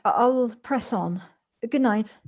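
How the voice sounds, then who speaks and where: A woman playing a gentle, wistful city dweller in an audio drama, speaking in a halting, hesitant way. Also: a little high. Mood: subdued, evasive. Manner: halting, hesitant, repeats words. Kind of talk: naturalistic audio drama acting.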